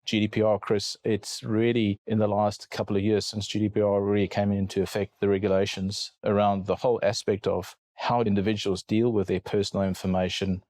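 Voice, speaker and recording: A middle-aged South African man with a lowish voice that stays flat and even. He answers podcast questions in a measured way, often restarting and repeating a word before going on. The sound is close and dry. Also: no echo. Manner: measured, repeats words while thinking. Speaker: guest cybersecurity lawyer. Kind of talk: podcast interview.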